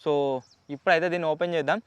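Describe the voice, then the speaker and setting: A man's voice: fairly high pitch, fairly even intonation, rapid-fire, smooth, no room sound. A man with a Telugu accent hosting a casual unboxing vlog, chatty and explanatory, mixing in English words. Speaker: YouTube host.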